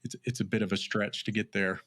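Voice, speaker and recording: A man's voice: fairly low pitch, little pitch movement, fairly quick, a bit bright. A man hosting a podcast, easygoing and affirming, with frequent hesitations. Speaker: podcast host. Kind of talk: casual podcast interview.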